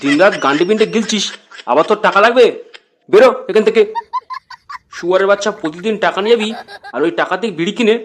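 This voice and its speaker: A man's voice: high-pitched, fast, pauses often, a bit bright, a little hiss or hum. A man voicing an irritable, scolding older father in a comic cartoon. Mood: angry scolding. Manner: scolding, exasperated, blustering.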